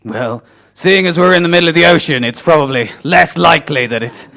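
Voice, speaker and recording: A man's voice: fairly high pitch, fairly quick, vocal fry, pushed and bright. A man playing a flustered, pedantic airport boss in an improvised comedy radio play, stammering and fussy. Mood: puzzled, hesitant.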